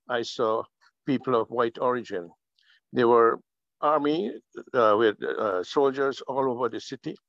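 A man's voice: mid-range voice, a bit bright, no echo. Older guyanese-born guy on a zoom call, dry muffled sound, lots of ums. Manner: slow, halting, with many fillers. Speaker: guest speaker. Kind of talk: telling his life story on a video call. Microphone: video call. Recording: muffled video call audio.

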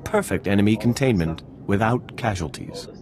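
A man's voice: on the low side, lively intonation, normal speed, crowd noise, natural voice. A man playing a pompous government scientist in a video game, speaking in a haughty, precise, lecturing manner. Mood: smug. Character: pompous, self-assured male government scientist. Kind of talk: video game cutscene acting.

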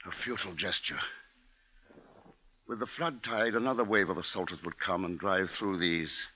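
An actor playing a grave, resolute middle-aged father who narrates in a measured, dramatic way in a 1950s radio drama. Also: low-pitched, bright, forceful tone, husky, heavily acted. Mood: grave, brooding. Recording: noisy 1950s radio broadcast recording.

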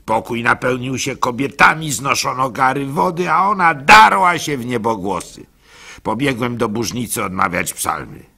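A man's voice: medium pitch, normal speed, creaky, bright, forceful tone, slightly rough, full character voice. A man playing a gullible, plaintive village fool, telling his misfortunes in a folksy, rueful, theatrical radio monologue. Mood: rueful, bewildered. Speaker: radio drama actor. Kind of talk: first-person dramatic monologue storytelling.

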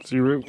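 A young man filming himself fishing at a pond, chatting casually and teasing his brother with excited, laughing commentary. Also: mid-range voice, a little hiss or hum. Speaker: angler filming a fishing challenge. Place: outdoors by a rural pond. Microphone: head-mounted action camera.

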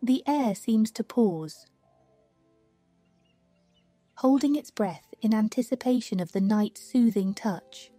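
A woman reading a scripted guided sleep meditation in a slow, soothing, hushed manner. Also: a little high, dry recording. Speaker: meditation narrator. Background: music.

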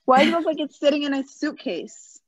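A woman's voice: high voice, brisk, a crowd in the background. A woman hosting an online cooking session in casual, exclamatory chat. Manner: lively, exclamatory. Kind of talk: casual video call chat. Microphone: video call. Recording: slightly dull video call audio.

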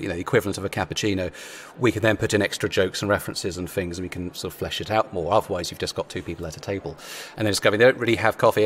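A man's voice: fairly low pitch, slight background noise. A man chatting casually as a writer on a panel, explaining things in a rambling way. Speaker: audio drama writer.

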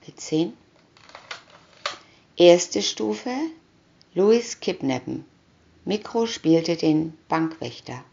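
Female storybook narrator: low-pitched, deliberate with pauses between captions, muffled lo-fi recording. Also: animated, vocal fry, warm tone. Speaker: reader of picture-story booklets. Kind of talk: scripted narration of a children's comic story. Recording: dull lo-fi recording.

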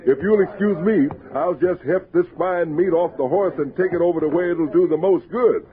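A man's voice: fairly high pitch, normal speed, phone-quality sound, natural voice. An actor playing a big, proud, grumbling frontier expedition man with a folksy, blunt way of talking in a radio drama. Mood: delighted. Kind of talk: 1960s radio drama acting. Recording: band-limited old radio, crowd behind.

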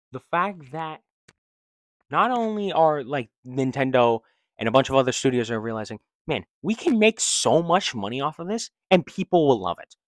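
Young male vlogger, slangy and rambling, lively pitch, fairly high voice, dry close handheld mic. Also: no echo. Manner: rambling, restarts sentences, slangy and excitable. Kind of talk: casual opinion vlog. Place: living room, seated in armchair. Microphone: handheld mic held close.

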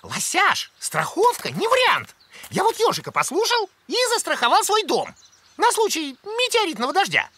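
A high excitable cartoon critter. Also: male voice, normal speed, a little hiss or hum, heavily acted. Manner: excitable, exclaiming. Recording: cartoon soundtrack with music.

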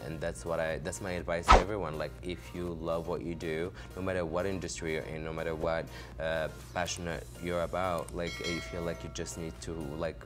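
A young man from Morocco, a fashion designer, answering interview questions in a relaxed studio chat full of filler words. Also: a bass voice. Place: studio set, seated on a couch. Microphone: desk microphone on a stand. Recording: music playing underneath.

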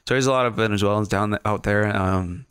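A man's voice: on the low side, fast talker, fairly bright tone, clear, resonant tone, no echo. A young man from Mexico with a Mexican accent, chatting casually as a podcast guest, close on a studio mic. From Ciudad Juarez, Mexico. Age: young adult. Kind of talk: casual chat. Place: small podcast studio, indoors. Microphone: close studio microphone, headphones.

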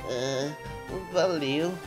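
A man with a Brazilian accent voicing a cute, shy but excitable fantasy creature in an amateur cartoon fandub. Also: fairly high pitch, drawn-out words. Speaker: fan dubber. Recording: music behind the voice.

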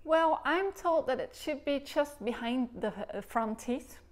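Dutch woman teaching recorder, rather high voice. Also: animated, measured pace. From Netherlands. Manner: explains patiently and demonstrates syllables aloud with gestures. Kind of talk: demonstration lesson. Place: living room, seated on a sofa.

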